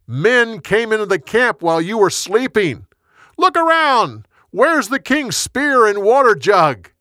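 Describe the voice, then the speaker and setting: A man's voice: a little high, steady tone, takes it slowly, no echo, studio quality. A man voicing a bold, righteous and loyal warrior leader in an earnest, declamatory way for a story. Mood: shouting, accusing.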